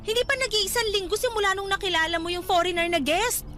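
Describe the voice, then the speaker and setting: Radio drama part: nagging, sensible young Filipino woman, very high piercing voice, exasperated and cautionary. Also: fast talker, bright, forceful tone. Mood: earnest, cautioning. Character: nagging, sensible female cousin and resort co-worker. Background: music bed.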